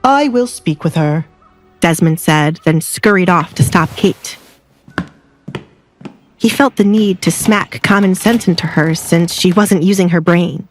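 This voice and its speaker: A woman's voice: fairly low pitch, unhurried. Woman narrating romance fiction over music. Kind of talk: scripted narration of a romance audio drama.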